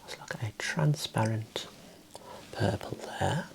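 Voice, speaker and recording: A man in his thirties whispering gently and soothingly in an ASMR roleplay as an optician, close to the mic in a small room. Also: lowish voice, takes it slowly, vocal fry, low-quality recording. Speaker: ASMR performer playing an optician.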